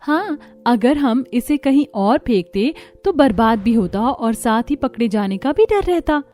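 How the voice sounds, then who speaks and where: A woman's voice: on the high side, expressive, a little slow, a little mellow, faint music behind. A woman voicing a scheming, put-upon young daughter-in-law in a cartoon story, complaining dramatically.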